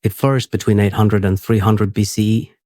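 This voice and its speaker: Steady, flat-voiced man reading. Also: on the low side, dry recording, clean studio sound. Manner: steady, unhurried, soothing reading. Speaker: sleep podcast host. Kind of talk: scripted narration for sleep.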